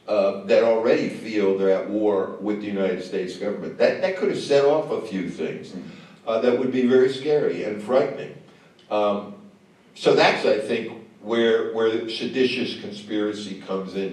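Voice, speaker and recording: A man, a film director, answering questions on stage after a screening, talking in a halting way with many fillers and restarts. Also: on the low side, a little mellow. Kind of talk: on-stage conversation. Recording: echoey hall, mic far off.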